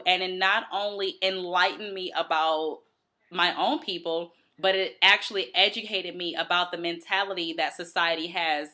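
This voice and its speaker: An American woman hosts a reflective solo commentary show. Her voice sits a little low for a woman but has a bright, forceful edge. She explains her points deliberately, as if walking a listener through an argument. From Detroit, United States. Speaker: host of a commentary and book review show.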